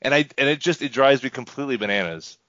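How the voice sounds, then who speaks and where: A man's voice: medium pitch, expressive, fast, fairly bright tone, no room sound. A man co-hosting a casual sports podcast, joking in a wry, exaggerated way. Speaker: podcast co-host. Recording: slightly dull, dry podcast audio.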